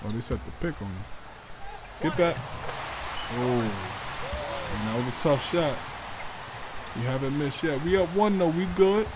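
A man's voice: on the high side, animated. A man role-playing a mic'd-up basketball player, calling out short hyped commands to his teammates over in-game chatter. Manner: hyped, urging teammates with quick short calls. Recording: noisy game audio underneath.

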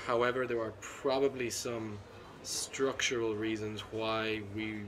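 Man, middle-aged, low monotone voice, measured clinical explanation, conference hall hum behind. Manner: measured, analytical, many restarts and ums. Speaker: interventional cardiologist guest. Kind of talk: conference panel interview. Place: seated at a conference booth indoors. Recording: constant conference hall ambience.

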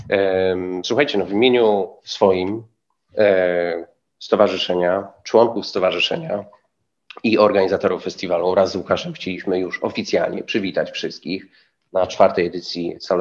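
A man in his thirties co-hosting a live festival stream from a studio, chatty and filling pauses with hesitations. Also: low voice, steady tone, darkish tone, dull audio. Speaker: festival co-host. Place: studio set in an institute.

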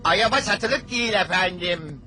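Man voicing an earnest high-pitched cartoon boy. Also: normal speed, sharp tone. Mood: polite, firm. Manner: earnest, polite. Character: young boy, earnest, polite, loyal son. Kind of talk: animated cartoon dub. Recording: music under the dialogue.

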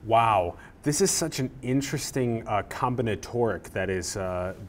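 A man in his thirties hosting an interview, talking in a rambling, animated way with lots of "like", on a lapel mic in a small room. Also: lowish voice, moderate pace, slight background noise. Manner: rambling, animated, uses "like" a lot. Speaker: podcast host. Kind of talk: casual interview chat. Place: small plain room indoors.

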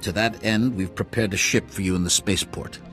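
Male council master, acted for a sci-fi game: low, formal, authoritative, music underneath. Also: fairly even intonation, a bit bright, lightly acted. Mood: grave. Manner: measured, formal. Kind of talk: video game voice acting.

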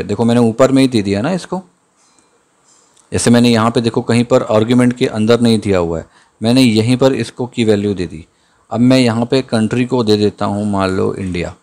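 A man giving a screen-recorded coding lesson, thinking aloud as he types and slowing down to read out the code. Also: mid-range voice, darkish tone. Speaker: programming tutor. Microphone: voice-over on screen capture.

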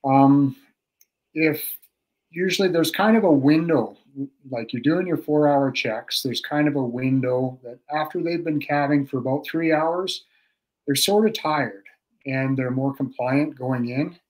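A man's voice: mid-range voice, a little slow, low-quality recording. Middle-aged male guest expert, casual how-to talk, filler-heavy, webcam sound from a home room. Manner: relaxed, practical, many fillers and restarts, chuckles often. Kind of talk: casual instructional talk on a video call. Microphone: video call webcam.

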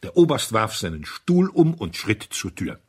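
A man's voice: fairly low pitch, big pitch swings, normal speed, husky. A man narrating a story as an audiobook reader, in a dramatic scripted style.

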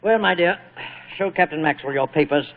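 An actor playing a genial, flowery old British stage actor in a 1940s radio drama, affable and polite, with a British accent. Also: male voice, a little high, a little fast, lightly acted. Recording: muffled, narrow-band old radio recording.

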